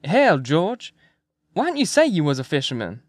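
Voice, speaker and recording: A man's voice: on the high side, huge pitch swings, moderate pace, no room sound, studio quality, voice pushed up. Brash, hearty rowdy ringleader, played by a man. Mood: hearty persuasion. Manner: hearty, pushy, colloquial. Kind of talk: acted audiobook character dialogue.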